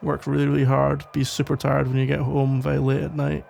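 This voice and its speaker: Man playing a gravelly, tender protective police officer. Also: mid-range voice, completely flat delivery, a little hiss or hum. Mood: wistful, self-deprecating. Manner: hesitant, halting, rambling with sighs and soft chuckles. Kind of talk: ASMR roleplay, intimate one-sided conversation.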